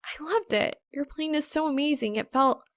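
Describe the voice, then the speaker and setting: A woman's voice: sits high, normal speed, a bit bright, no echo, clean studio sound, voice pushed up. A woman voicing an earnest, flustered young female college student with a hesitant manner in a story reading. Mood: admiring. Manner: hesitant, breaking off mid-thought.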